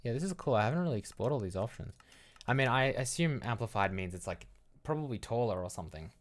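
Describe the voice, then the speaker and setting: A man's voice: mid-range voice, animated, fast. An Australian man chatting casually as a livestream host, rambling loosely and thinking aloud. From Melbourne, Australia.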